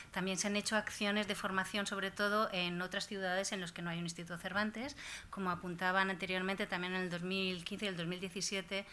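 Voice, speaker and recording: A woman's voice: lowish voice, sharp tone, a little hiss or hum. A middle-aged woman, an institute's deputy academic director, laying out facts steadily on a panel. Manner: informative, lists facts steadily. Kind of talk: panel talk at a colloquium.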